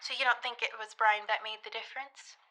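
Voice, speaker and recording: A woman's voice: fairly high pitch, steady tone, brisk, sharp tone, dry recording, low-quality recording. A woman acting as a determined teenage girl, an aspiring private eye and school reporter, in a scripted audio drama. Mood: probing. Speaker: narrator and lead actor. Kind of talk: scripted audio drama, first-person narration and dialogue.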